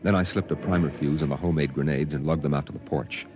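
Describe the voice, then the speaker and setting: A man playing a somber storyteller recalling his boyhood, grave and measured, in 1950s radio drama narration. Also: very deep voice, steady tone, music in the background. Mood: tense.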